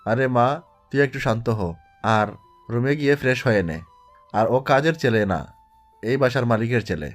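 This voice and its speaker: A man playing a calm, placating middle-aged father in an audio drama. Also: mid-range voice, normal speed, long pauses, soft background music, natural voice. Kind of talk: Bengali audio drama acting.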